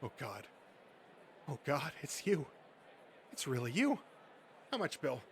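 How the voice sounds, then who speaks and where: A man's voice: medium pitch, huge pitch swings, takes it slowly, full character voice. A man voicing an obsessive, desperate toy-collecting nerd in a comic dub, pleading dramatically over slight recording noise. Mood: awed longing. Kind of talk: comic dub character acting.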